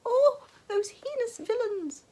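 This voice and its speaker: A woman's voice: high-pitched, lively intonation, a little fast, gravelly, darkish tone, voice pushed up. Woman doing a simpering spoiled princess. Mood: shrieking. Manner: melodramatic, simpering. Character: spoiled, scheming young princess.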